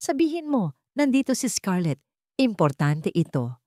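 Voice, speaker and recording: A woman's voice: medium pitch, very animated, moderate pace, a little mellow, no room sound, clean studio sound, natural voice. A woman with a Filipino accent voicing a desperate, determined young woman in a story drama, urgent and insistent. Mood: trembling, firm.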